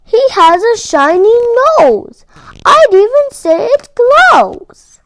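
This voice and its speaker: A woman's voice: very high-pitched, very animated, no room sound, pitched above the performer's own voice, heavily acted. A woman voicing a proud, dismayed father reindeer in a children's storybook, with a dramatic, exclaiming manner. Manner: loud, drawn-out, dramatic.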